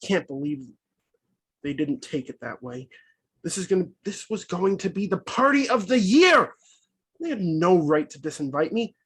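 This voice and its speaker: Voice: medium pitch, very animated, normal speed, breathy edge. A performer reading a snubbed teenage pizza delivery boy who mutters about revenge at a table read over a video call. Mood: bitter. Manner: sulky, vengeful muttering. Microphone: video call mic.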